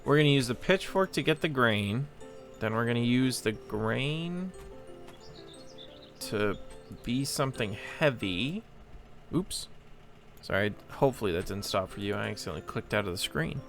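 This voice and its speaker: A man's voice: mid-range voice, expressive, moderate pace, pauses often, fairly bright tone, soft background music. A man hosting a game playthrough with casual commentary, hesitant and rambling as he thinks aloud. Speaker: host of a gameplay channel.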